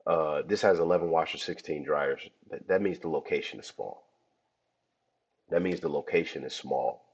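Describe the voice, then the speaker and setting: Casual podcast host: very low, husky, hoarse male voice, chatty, dull lo-fi sound. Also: moderate pace. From Cleveland, Ohio. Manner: chatty, addresses listeners as y'all. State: hoarse from a cold. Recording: dull lo-fi audio.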